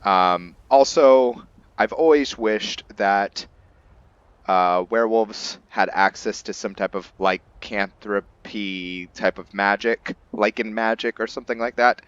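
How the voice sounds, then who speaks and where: A man presenting a fantasy roleplay game playthrough as its host and narrator, speaking in an unhurried way. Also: on the low side, fairly bright tone, clean tone, no room sound, highs cut off. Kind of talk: dramatic story narration, then informal commentary.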